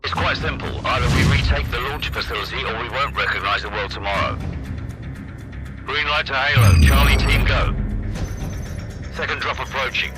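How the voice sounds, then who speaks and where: A man's voice: medium pitch, quick pace, hardly any pauses, over loud music, natural voice. Man acting urgent, resolute military commander. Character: resolute special forces field commander giving orders. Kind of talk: video game military dialogue.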